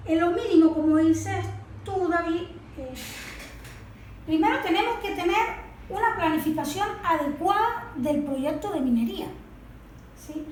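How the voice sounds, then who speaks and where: Venezuelan woman, expert interviewee, didactic and high-pitched, recorded in a very reverberant room with faint noise. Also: a little slow, a little mellow. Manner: didactic, asks and answers own questions. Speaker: environmental expert guest. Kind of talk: explanatory interview talk.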